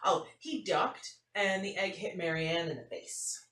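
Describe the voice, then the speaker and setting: A woman's voice: lowish voice, animated, unhurried, fairly bright tone. A woman voicing a nonchalant, matter-of-fact schoolgirl telling her tale in a picture-book read-aloud. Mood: matter-of-fact, animated. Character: nonchalant schoolgirl recounting an unbelievable farm trip. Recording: roomy, faint noise, distant mic.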